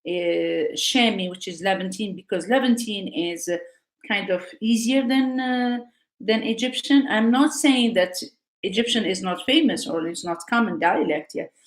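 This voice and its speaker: A woman's voice: medium pitch, a little slow, reverberant. A woman teacher from Africa, talking casually and hesitantly, with many fillers and restarts. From North Africa. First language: Arabic. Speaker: Arabic teacher. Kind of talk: casual live talk.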